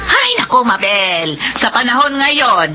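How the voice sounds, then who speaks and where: A woman playing a money-minded, brazen eatery owner in a Filipino radio drama, brisk and dismissive as she persuades. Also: on the high side, animated, highs cut off. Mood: dismissive, persuading. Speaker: radio drama lead actress.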